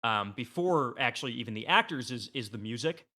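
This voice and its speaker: A man with a bright voice at a medium pitch joins a casual podcast panel. He speaks conversationally, feeling his way through sentences with halting, circling phrasing. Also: animated.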